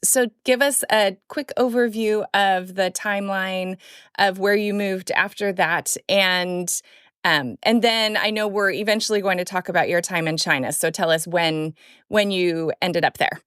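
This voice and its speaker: A woman hosts a podcast and steers her guest with questions. Her voice is smooth and clear, at an ordinary pitch, in a dry recording. She listens closely and keeps the conversation going with small murmurs. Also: unhurried, a bit bright, no room sound. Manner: engaged listener with frequent back-channel murmurs. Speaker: podcast host. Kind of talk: interview questions.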